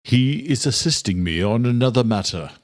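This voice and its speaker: A man's voice: medium pitch, animated, normal speed, natural voice. Man acting a commanding vampire king. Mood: measured, formal. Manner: commanding, formal. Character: stern vampire king presiding over a fractious council.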